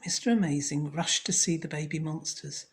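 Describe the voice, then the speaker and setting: A woman storyteller narrating a children's story in a measured, scripted read. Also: sits low, creaky.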